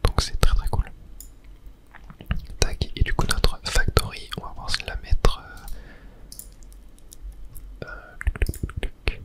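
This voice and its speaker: Soft whispering gaming ASMR host. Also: slow, pauses often. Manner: casual, hesitant, thinking aloud. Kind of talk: whispered ASMR game commentary.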